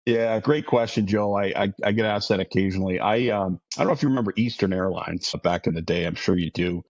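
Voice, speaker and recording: A middle-aged man from Massachusetts talks in a low voice, friendly and rambling, full of restarts and little fillers. The sound is dull and dry, like a remote lo-fi recording. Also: quick pace, darkish tone, dry recording. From Massachusetts, outside Boston. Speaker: aviation insurance guest. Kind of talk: casual interview chat.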